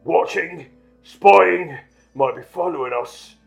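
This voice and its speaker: A man's voice: fairly high pitch, measured pace, vocal fry, a bit bright, faint music behind, voice pushed up, heavily acted. A man voicing a blustering, bullying middle-aged uncle from a storybook, sneering, spluttering and barking his words. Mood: agitated muttering.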